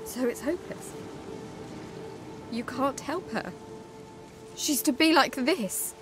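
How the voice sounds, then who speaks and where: An actress playing a fierce, distraught young adult daughter in a video game, intense and insistent. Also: female voice, sits high, takes it slowly. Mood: desperate. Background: game music.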